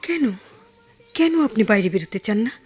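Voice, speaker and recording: A woman's voice: medium pitch, very animated, a little fast, darkish tone, husky. Tender, pensive young woman. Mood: earnest, pleading. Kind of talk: Bengali radio drama acting.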